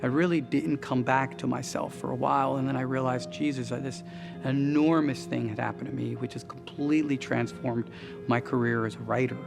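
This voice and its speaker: A man speaks reflectively as an interviewed writer, in a mid-range voice with noticeable creak. Music is clearly audible behind him. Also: medium pitch, fairly even intonation, fairly quick.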